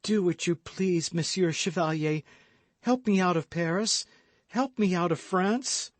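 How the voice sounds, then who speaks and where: Man putting on a high, pleading young maidservant, Kitty. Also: a little slow, no room sound. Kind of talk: audiobook character acting.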